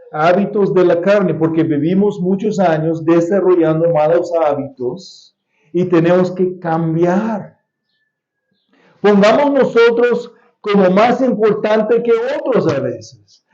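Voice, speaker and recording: Guy preaching, high-ish voice but mellow, slow and careful, echoey church, kinda dull sound. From United States. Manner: deliberate preaching with repeated key phrases and occasional restarts. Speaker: pastor. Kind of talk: sermon. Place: church sanctuary.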